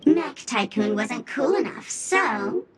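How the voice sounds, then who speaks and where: A woman's voice: a little high, lively intonation, takes it slowly, slightly rough, exaggerated voice. A woman voicing a small, playfully pompous mascot creature acting as a secret agent in a video game. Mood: mock-serious. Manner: clipped, officious. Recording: music behind.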